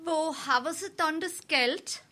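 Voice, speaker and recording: A woman playing a brisk, scolding tavern waitress in a Darmstadt Hessian dialect stage comedy. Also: sits high, bright, forceful tone.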